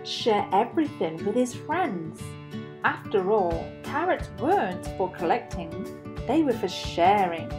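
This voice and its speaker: A woman reading a picture book aloud to children as an expressive storyteller, leaning deliberately on key words. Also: mid-range voice, music in the background.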